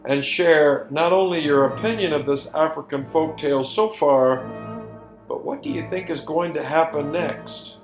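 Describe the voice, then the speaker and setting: A middle-aged man narrating a folktale in a deliberate, emphatic, theatrical storyteller's delivery. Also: fairly high pitch. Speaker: storyteller and presenter. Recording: roomy echo with music behind.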